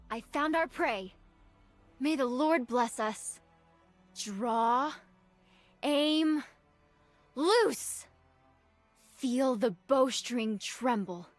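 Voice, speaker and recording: An earnest young nun archer from a video game, with a fairly high voice. She is dutiful and proud, and phrases things politely and formally. The recording is close and dry, with music playing behind her. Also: no echo, full character voice. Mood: battle-ready, commanding. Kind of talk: scripted game character voice lines.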